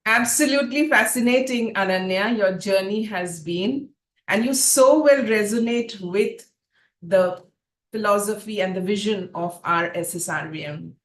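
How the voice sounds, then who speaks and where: A woman's voice: medium pitch, measured pace. A woman moderating an online panel who gives formal, gracious introductions and questions. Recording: echoey room with faint noise.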